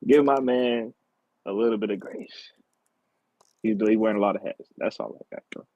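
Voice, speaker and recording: Deep husky guy on a muffled dry mic, slangy podcast talk, bro every other sentence. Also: moderate pace, dark tone, no echo. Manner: slangy, emphatic, often says "bro". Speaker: podcast panelist. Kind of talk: casual podcast chat.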